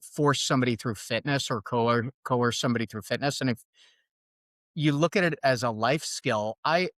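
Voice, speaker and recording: A man with a New York accent speaks as a podcast guest, mid-pitched and conversational. He thinks aloud and often restarts his sentences. The sound is close and dry, with no room around it. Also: normal speed, no room sound. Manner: thoughtful, restarts often, animated. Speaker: podcast guest, fitness coach. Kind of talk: casual conversational interview.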